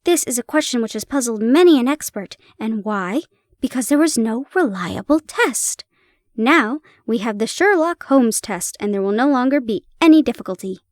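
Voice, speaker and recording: Keen, exclaiming male detective, voiced by a woman reader, higher register. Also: lively intonation, normal speed, no room sound, studio quality, close to an everyday voice. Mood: triumphant. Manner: fervent, exclaiming. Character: eager brilliant male detective, excitable.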